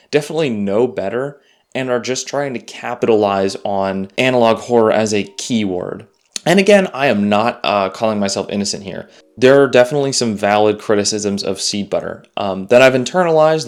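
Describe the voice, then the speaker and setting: A man giving informal, opinionated video commentary in a conversational way, repeating phrases to press his points. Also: on the low side. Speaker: YouTuber, analog horror commentator.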